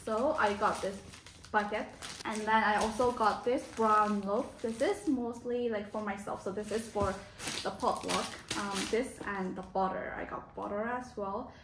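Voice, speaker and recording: A woman's voice: mid-range voice, fairly even intonation, moderate pace. A young adult woman vlogger chatting casually and informally to the camera in a home kitchen. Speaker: lifestyle vlogger. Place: small home kitchen, indoors. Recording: echoey room, clear background noise.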